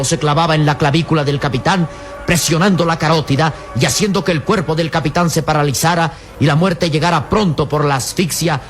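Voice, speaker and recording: A man narrating a radio adventure serial in a dramatic, scripted style. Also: a little high. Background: music.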